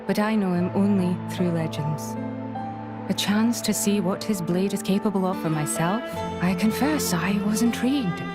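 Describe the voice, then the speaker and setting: Lady doing cool military commander in a game, formal n measured, music behind. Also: mid-range voice, natural voice. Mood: reflective, composed. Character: composed, authoritative female imperial officer, dry and probing. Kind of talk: video game voice acting.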